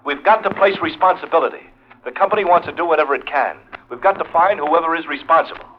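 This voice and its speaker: Male, actor as businesslike insurance executive. Quick, insistent radio-drama delivery, dull old recording with faint noise. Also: a little high, natural voice. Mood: urgent. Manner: brisk, insistent. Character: urgent, businesslike male insurance company boss. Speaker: supporting actor. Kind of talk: 1940s-50s radio drama acting. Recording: muffled vintage radio recording.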